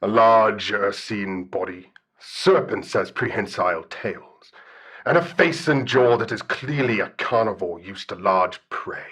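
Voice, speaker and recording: An actor playing a solemn, shy, bear-like guardian spirit in an audio drama, speaking formally and hesitantly. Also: male voice, lowish voice, fairly bright tone, breathy edge, heavily acted. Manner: formal, hesitant, articulate speech. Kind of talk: audio drama radio call-in acting.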